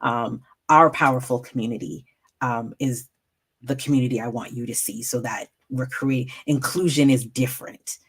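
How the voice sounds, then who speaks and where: A woman storyteller speaking as an interview guest, in an animated, expressive way. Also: a bass voice, normal speed, husky. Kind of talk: casual interview talk.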